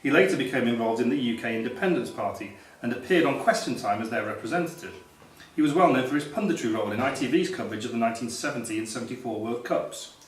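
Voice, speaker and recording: A man's voice: mid-range voice, quick pace. Echoey male quiz master reading questions. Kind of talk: reading quiz questions aloud at a live pub-style quiz. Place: echoey hotel function room, live quiz. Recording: distant, very echoey room with background noise.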